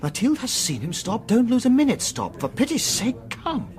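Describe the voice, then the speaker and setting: An actor playing a loyal, long-suffering gentleman companion in a period radio drama, genial, polite and gently dry. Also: extremely high pitch, wildly swooping pitch, normal speed, full character voice. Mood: concerned.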